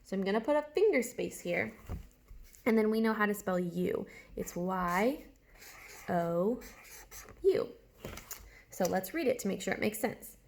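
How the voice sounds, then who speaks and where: Creaky-voiced woman teaching young children. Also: medium pitch, lively intonation, a little slow, long pauses. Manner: instructional, guiding, asks questions and spells words out. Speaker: early elementary teacher. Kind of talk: read-along writing lesson for young children.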